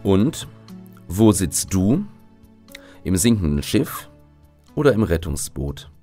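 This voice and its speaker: A man hosts a children's radio program in a scripted, direct address. His voice is fairly low and very animated, with faint music underneath. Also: takes it slowly. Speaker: radio host. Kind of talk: scripted address to young listeners.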